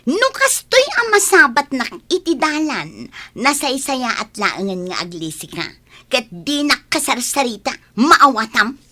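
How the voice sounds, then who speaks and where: A woman playing a haughty, shrill village beauty. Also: big pitch swings, a little slow, exaggerated voice. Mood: scornful. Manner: haughty, sharp-tongued. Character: vain young village woman chasing a rich husband. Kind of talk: comedy radio-play acting.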